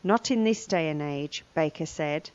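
Lower-voiced woman reading, slightly dull sound. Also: measured pace, darkish tone, clean tone, dry recording. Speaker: language lesson presenter. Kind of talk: scripted lesson narration, reading a news report.

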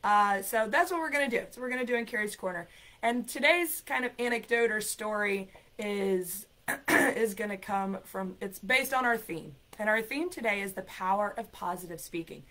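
A woman hosting a livestream, chatty and playful, her voice raspy from a cold. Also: mid-range voice, normal speed, fairly bright tone. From United States. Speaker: ESL program coordinator and show host. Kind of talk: livestream talk and storytelling. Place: decorated home room. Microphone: laptop webcam, video call framing.

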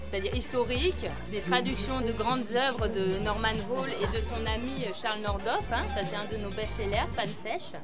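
A woman's voice: fairly high pitch, normal speed, hardly any pauses, over loud music. A middle-aged woman, a publisher, answering interview questions casually at her stand. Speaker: publisher at a book fair stand. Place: small book fair booth. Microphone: interviewer's handheld mic.

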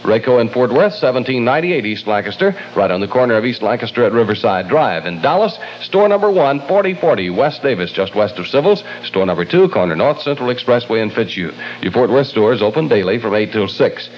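Male American radio host, circa 1960, animated announcer read, mid pitch, lo-fi AM tape. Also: fairly quick, few pauses. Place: radio studio. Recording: lo-fi 1960 AM radio aircheck, faint hum.

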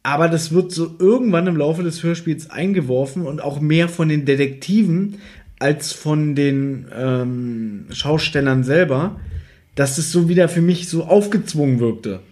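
A man's voice: fairly high pitch, unhurried, a little mellow. A man co-hosting a podcast in casual, conversational retelling and commentary. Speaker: podcast co-host. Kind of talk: casual chat, retelling and critiquing a story.

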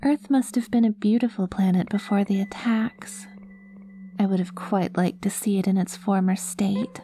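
A woman playing a cute, earnest, easily flustered young alien girl in a soft-spoken ASMR roleplay. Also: mid-range voice, steady tone, normal speed, a little hiss or hum, lightly acted. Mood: soft, sombre. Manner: earnest, flustered, talks to herself. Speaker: audio roleplay performer.

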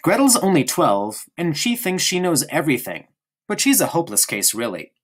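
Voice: medium pitch, big pitch swings, normal speed, pitched above the performer's own voice. A narrator voicing a stubborn, chatty young boy in an audiobook, speaking eagerly and insistently. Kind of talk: audiobook character reading.

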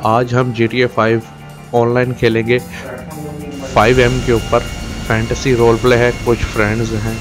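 Male gaming host, Pakistani accent, halting casual delivery, very flat intonation, loud music underneath. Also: medium pitch. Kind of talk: casual gameplay commentary.

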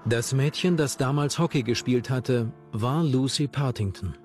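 Guy doing scripted true crime doc narration, normal pitch, bit of music behind. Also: unhurried, darkish tone. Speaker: documentary narrator. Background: background music.

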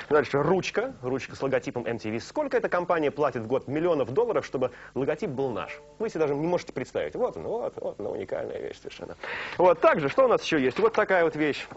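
A man's voice: fairly high pitch, fast. A young man hosting a late-night TV show from a studio sofa, talking live with a joking, teasing manner. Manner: joking, teasing, lively and animated. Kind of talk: playful live studio banter. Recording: dull lo-fi broadcast tape.

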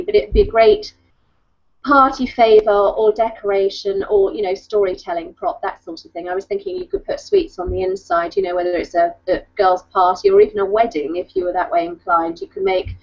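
A woman's voice: medium pitch, darkish tone. A woman in her thirties chatting casually as she shows crafts, often interrupting herself, on a video call from home. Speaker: craft blogger. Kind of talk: casual craft show-and-tell. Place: book-lined room at home. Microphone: webcam video call. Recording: dull lo-fi video call audio.

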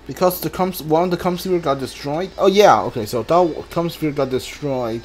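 A man's voice: a little high, moderate pace, soft background music. A man giving casual playthrough commentary as a video game streamer, muttering to himself and talking back to the game.

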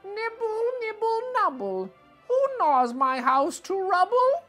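A man voicing a gnarled, cruel old witch in a high, cackling crone voice for a read-aloud storybook. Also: sing-song, takes it slowly, bright, forceful tone, faint music behind, full character voice. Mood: crooning. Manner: high cackling crone voice, wheedling then barking.

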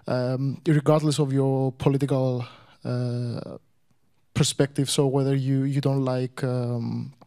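A young man, a native Greek speaker, telling his own story in English to a guest, hesitant with many pauses and restarts. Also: mid-range voice, a little mellow. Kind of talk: personal story told to a guest.

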